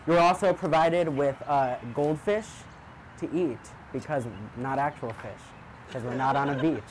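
A man's voice: a little high, measured pace, slight background noise, poor audio. A young man organizing the game, explaining the rules in a matter-of-fact way.

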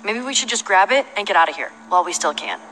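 A woman's voice: mid-range voice, very animated, fast, background noise, natural voice. An actress playing a tough, principled and suspicious young female adventurer in a video game. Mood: suspicious, tense.

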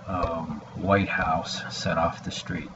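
A man in his forties with a gravelly voice, giving a halting, rambling personal message to a webcam at home. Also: deep voice, fairly even intonation. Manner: halting, hesitant, with long pauses. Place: small room indoors at home. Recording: dull lo-fi webcam audio, background noise.

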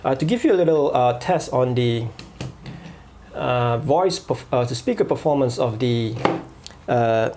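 A man reviewing a walkie-talkie at a desk, pausing often with fillers and restarts. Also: mid-range voice, lively intonation, warm tone, a little hiss or hum. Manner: halting, many fillers and restarts. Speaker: gadget reviewer. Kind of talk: product review voice-over.

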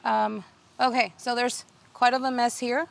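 A woman's voice: a little high, flat delivery, measured pace, gravelly. Chatty woman touring her rose garden. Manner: chatty, many fillers and restarts. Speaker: garden video host. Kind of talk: casual garden tour chat. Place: outdoor home garden.

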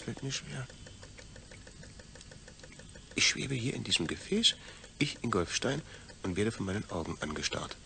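An actor playing a pensive astronaut in a deliberate, theatrical audio drama soliloquy. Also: male voice, on the low side, vocal fry. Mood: brooding. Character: pensive astronaut man puzzling over his own detached brain. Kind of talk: German audio drama monologue.

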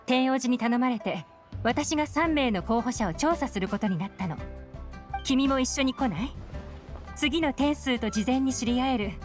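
A woman's voice: sits high, expressive, moderate pace, music in the background. An actress playing a cool, self-assured female agent in a video game, with a poised, playfully teasing manner. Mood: sly, inviting. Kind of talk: Japanese video game voice acting.

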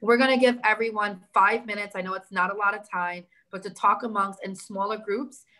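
A woman in her thirties with a New York accent hosting a town hall on a video call, talking in a brisk, organized, encouraging way. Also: mid-range voice, steady tone, bright, forceful tone. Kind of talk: moderating a video call meeting. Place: indoor room with big windows. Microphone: laptop webcam on a video call.

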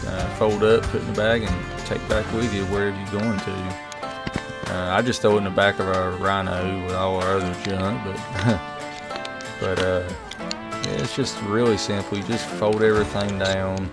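A middle-aged man giving a relaxed, rambling product review, full of fillers and restarts. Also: low-pitched, normal speed. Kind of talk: casual voice-over review. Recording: music playing underneath.

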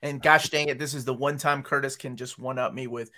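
A man's voice: mid-range voice, fairly even intonation, fairly quick, pushed and bright. A man who speaks English as a second language, hosting a casual panel chat over a video call, joking and reading product listings aloud. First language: not English. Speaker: host.

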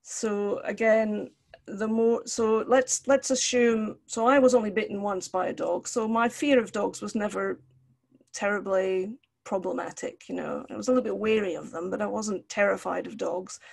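A woman's voice: mid-range voice, steady tone, moderate pace, gravelly. An older woman from Scotland, lecturing over a video call in a conversational, explanatory way. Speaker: counselling lecturer. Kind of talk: online lecture with personal examples. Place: indoor room, video call.

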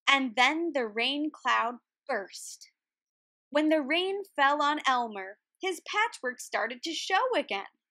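Bright, high-pitched woman reading a storybook. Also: dry recording. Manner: expressive, dramatic, deliberate enunciation for children. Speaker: read-aloud storyteller, art teacher. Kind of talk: children's picture book read-aloud.

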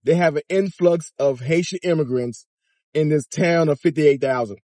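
A man's voice: a little high, normal speed, dry recording, clean studio sound. A man in his fifties from Miami, talking animatedly to viewers from inside a car in a casual commentary vlog. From Miami, Florida. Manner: animated, chatty, addresses viewers directly. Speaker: vlogger. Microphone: phone or dash camera at arm's length.

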